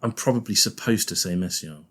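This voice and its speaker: Husky Liverpudlian man, chatty. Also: fairly low pitch, brisk, a bit bright. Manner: chatty, laughs often, thinks aloud with restarts. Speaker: guest organist. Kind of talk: casual podcast chat.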